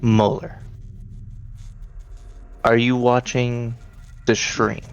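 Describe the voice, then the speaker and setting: A man running a tabletop horror roleplay as game master, halting and repeating words as he goes. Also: medium pitch, level tone, clean tone, lo-fi sound.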